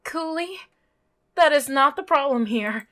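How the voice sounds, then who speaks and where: A woman's voice: high voice, expressive, unhurried, fairly bright tone, faint music behind. A woman playing an alarmed, disgusted visual novel protagonist, exclaiming with indignation. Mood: alarmed, indignant.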